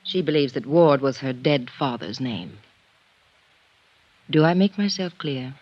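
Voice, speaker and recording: A woman's voice: extremely low pitch, lively intonation, unhurried, no echo, heavily acted. A woman playing a composed, guarded middle-aged estranged wife, formal and frank, in a 1950s radio drama. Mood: frank, controlled. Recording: muffled 1950s radio recording.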